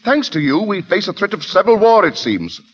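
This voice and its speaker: A man's voice: sits high, sing-song, brisk, slightly rough, heavily acted. An actor playing a petulant, easily swayed French king in haughty, theatrical old radio drama style. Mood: stern. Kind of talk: 1930s-40s radio drama acting. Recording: dull vintage radio recording.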